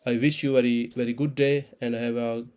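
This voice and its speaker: A man in his thirties, an Urdu speaker, explaining a trading chart in halting with many restarts. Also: medium pitch, level tone, darkish tone, clear, resonant tone. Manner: halting non-native English, frequent restarts and repeated words. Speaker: crypto trading channel host.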